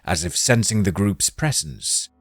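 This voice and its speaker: Deep, slow male storyteller, lively and dramatic delivery, with music underneath. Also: creaky. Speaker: narrator. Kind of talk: scripted audiobook narration. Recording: narration over music bed.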